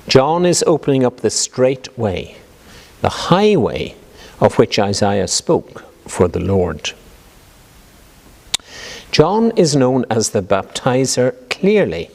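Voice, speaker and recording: A man's voice: mid-range voice, a little mellow. Formal preacher, man in a reverberant hall. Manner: formal, erudite, measured phrasing. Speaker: archbishop giving a reflection. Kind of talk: scripted sermon reflection. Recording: long reverberant hall echo.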